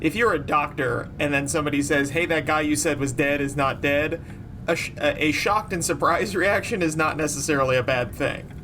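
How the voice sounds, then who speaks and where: A man's voice: fairly high pitch, fairly quick, noisy background. A man chatting casually as a player in a tabletop role-playing game.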